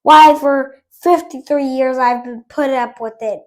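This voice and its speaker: A woman's voice: high voice, unhurried, darkish tone. A woman reading as a snarling Christmas-hating creature. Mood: scheming. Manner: snarling, scheming.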